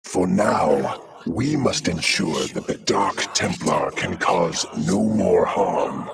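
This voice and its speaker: A man voicing a grave, commanding alien hive-mind creature in a video game, formal, with a deep, gravelly voice. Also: lively intonation, slow, background noise, heavily acted. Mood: stern command. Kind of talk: dramatic video game voice acting. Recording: loud creature ambience over voice.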